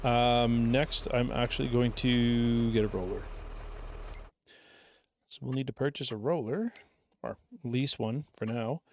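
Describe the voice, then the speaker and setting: A man streaming a farming game, thinking aloud in a relaxed, rambling way as he plays. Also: medium pitch, a little slow, long pauses, slight background noise. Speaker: gaming streamer. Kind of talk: casual gameplay commentary.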